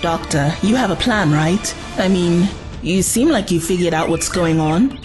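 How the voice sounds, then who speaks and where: A woman's voice: on the low side, animated, unhurried, over music. A woman playing a blunt young adventurer's companion in an audio drama, direct and questioning. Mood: urgent, wary.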